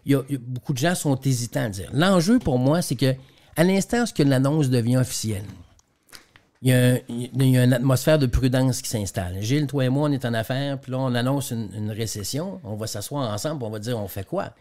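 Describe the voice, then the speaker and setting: A man's voice: mid-range voice, a little fast, mellow. A man from Quebec with a Quebec French accent, a guest trainer and speaker explaining business examples in a radio interview, often repeating words as he goes.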